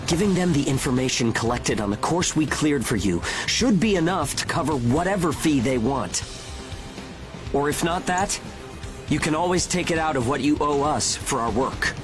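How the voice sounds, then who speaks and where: A man's voice: a little high, expressive, normal speed, natural voice. An actor playing an earnest, determined young man in a dramatic video game scene, speaking persuasively. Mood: pressing, assertive. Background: music.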